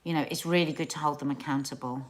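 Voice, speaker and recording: A woman's voice: deep voice, fairly even intonation, quick pace, a little hiss or hum. A middle-aged woman hosting a casual livestream, chatting with viewers, often trailing off and restarting her sentences. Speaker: livestream host.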